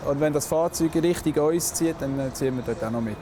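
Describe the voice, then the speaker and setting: A man's voice: on the high side, fairly quick. A man with a Swiss German accent speaking as a police officer, explaining things in a polite, matter-of-fact way. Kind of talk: explaining his work in conversation. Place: on location by a motorway. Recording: clear outdoor traffic and vehicle noise.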